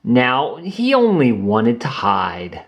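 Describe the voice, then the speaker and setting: A man's voice: mid-range voice, wildly swooping pitch, takes it slowly, dull audio. A man narrating a children's picture book, reading aloud expressively with theatrical emphasis for young listeners.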